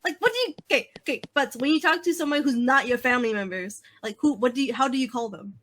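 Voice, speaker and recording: A young woman from a Vietnamese family chatting casually, animated and laughing often, with lots of restarts. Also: sits high, fast talker, a little hiss or hum. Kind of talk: casual chat.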